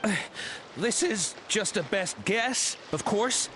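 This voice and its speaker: A man's voice: high voice, lively intonation, takes it slowly, a bit bright, a little hiss or hum, full character voice. A man voicing a cowardly, nervous henchman in a video game, hesitant and stammering as he tries to appease. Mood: nervous, deferential. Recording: game music behind the voice.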